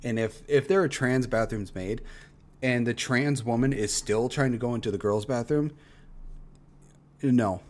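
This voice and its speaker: A man's voice: mid-range voice, unhurried, a little mellow. Blunt, cursing male reaction streamer. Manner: blunt, profane ranting with frequent swearing. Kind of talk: casual reaction commentary.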